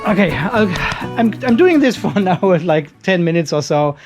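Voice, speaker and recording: Guy in his 50s, kinda high voice, walking through a battery job in his garage, camera right up close. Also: lively intonation, moderate pace, over music. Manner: explains step by step while working, thinking aloud. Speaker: channel host. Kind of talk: hands-on workshop demo. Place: cluttered garage workshop with a metal roof. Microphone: handheld camera held close.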